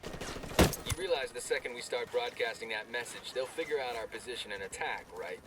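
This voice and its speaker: An actor playing a skeptical special forces soldier in a video game, with a sardonic way of talking. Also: male voice, medium pitch, normal speed, natural voice. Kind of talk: video game cutscene acting. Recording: distant voice, loud outdoor combat noise.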